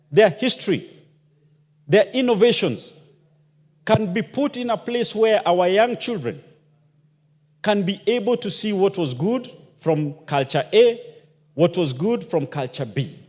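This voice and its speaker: A man's voice: sits high, animated, a little slow, long pauses, darkish tone. A man giving an impassioned parliamentary speech, asking rhetorical questions. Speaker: member of parliament. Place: parliament chamber. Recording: long hall reverb.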